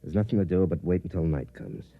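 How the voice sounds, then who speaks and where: An actor playing a cynical middle-aged American career soldier in a 1950s radio drama, plain-spoken and brooding. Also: male voice, low-pitched, lively intonation, fast talker, vocal fry, phone-quality sound, loud music behind the voice. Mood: wary, resigned. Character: weary, cynical career soldier, twenty years in army. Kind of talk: 1950s radio drama acting, first-person narration. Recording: old band-limited 1950s radio recording.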